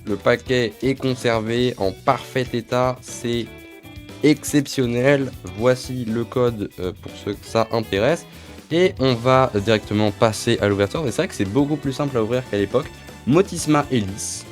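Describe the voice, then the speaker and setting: Young male vlogger, stuffy head cold, rambling chatty delivery, mid-range voice, soft music underneath. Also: mid-range voice. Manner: chatty, rambling, names each card as he goes. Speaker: channel host. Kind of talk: casual card-opening vlog. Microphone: phone camera.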